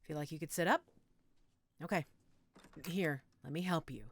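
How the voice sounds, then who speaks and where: A woman playing a middle-aged motherly healer in an audio roleplay, gentle, reassuring and coaxing. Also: sits low, moderate pace. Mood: soothing. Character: calm motherly werewolf-den healer named Judith, tending a patient.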